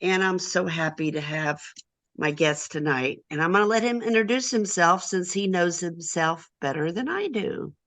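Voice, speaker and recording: A woman hosting an interview, effusive and a little halting as she asks questions. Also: lowish voice, a bit bright. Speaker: host, senior editor.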